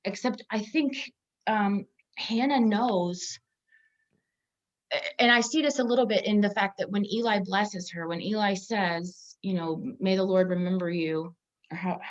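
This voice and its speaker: A woman's voice: mid-range voice, steady tone, normal speed, muffled recording. A woman co-hosting a conversational Bible discussion in a thoughtful, reflective way. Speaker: podcast co-host.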